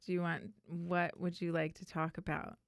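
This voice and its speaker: A woman's voice: on the low side, flat delivery, moderate pace, creaky, dry recording, studio quality. An American woman co-hosting a talk show, chatting casually and reading out local news items.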